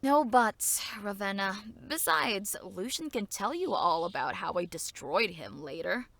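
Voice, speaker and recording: Woman playing a bright, feisty big sister. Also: medium pitch, animated, unhurried, creaky, full character voice. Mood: bossy. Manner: brash, commanding, teasing. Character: feisty, competitive, bossy young elder sister. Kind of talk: audio drama character acting.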